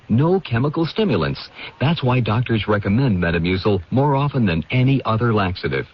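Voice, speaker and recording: A man's voice: medium pitch, slightly rough. A man reading a scripted radio advertisement as an announcer. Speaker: commercial announcer. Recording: muffled old radio broadcast.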